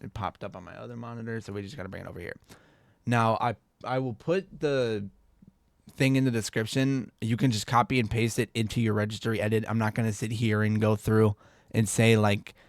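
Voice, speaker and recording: A man's voice: medium pitch, fairly even intonation, moderate pace, no room sound, clean studio sound. A young man with a stuffy, congested voice, giving a rambling, laid-back computer tutorial and laughing off his own slips. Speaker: tech tutorial creator. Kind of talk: casual screen-recorded tutorial.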